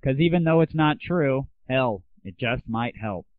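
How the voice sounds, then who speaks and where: A man's voice: mid-range voice, lively intonation, a little slow, no room sound. A man hosting an audio show, talking straight to his listeners in a casual, crude, irreverent sign-off style. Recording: tinny, phone-like 1990s internet audio.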